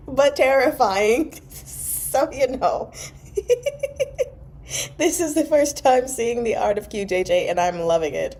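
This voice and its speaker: Woman doing a book read along, soft warm tone, narrates then gets chatty n excitable. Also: high voice. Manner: giggly, excitable in asides. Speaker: live read-along host. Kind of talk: novel narration with chatty, giddy asides.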